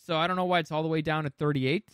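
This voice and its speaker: A man with a fairly high voice and a close, dry sound chats as a co-host. He is opinionated and loose, stumbling into restarts and swearing casually as he works through a ranked list. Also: fairly even intonation, very fast, fairly bright tone, clean tone, no echo. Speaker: podcast co-host. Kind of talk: casual chat ranking movies.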